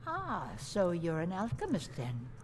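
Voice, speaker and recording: A woman's voice: fairly low pitch, huge pitch swings, a little slow, background noise. A woman playing an alchemist shopkeeper in a fantasy video game. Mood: businesslike.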